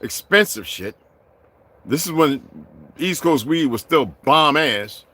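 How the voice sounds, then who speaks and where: A middle-aged man delivering a heated, profane vlog rant, swinging from low muttering to shouting. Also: lively intonation, slow. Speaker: vlogger. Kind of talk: profane ranting monologue.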